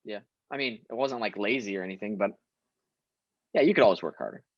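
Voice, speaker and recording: A man's voice: on the low side, fast, dry recording, muffled recording. A young man born in Syria, a guest actor talking casually and emphatically in an interview, laughing often.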